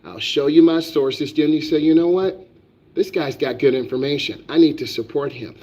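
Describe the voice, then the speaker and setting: A man with a slightly raised pitch gives a driving lesson on a live stream, emphatic and preachy, repeating his points again and again. His voice is dull and muffled, as if from a lo-fi stream. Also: moderate pace, darkish tone. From United States. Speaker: driving instructor.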